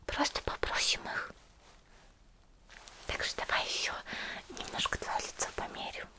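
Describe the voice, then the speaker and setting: A woman doing an ASMR roleplay, speaking to the listener in a gentle, caring, unhurried manner. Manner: gentle, caring, unhurried, uses affectionate diminutives. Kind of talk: whispered ASMR roleplay, softly talking to the listener.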